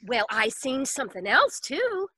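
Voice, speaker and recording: High, brash, slangy street gal. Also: female voice, sing-song, unhurried, bright, forceful tone, no room sound, clean studio sound, full character voice. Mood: eager, insistent. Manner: slangy, uneducated wisecracking speech. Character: brash, slangy female street witness, eager and cheeky. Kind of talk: 1940s radio comedy-drama acting.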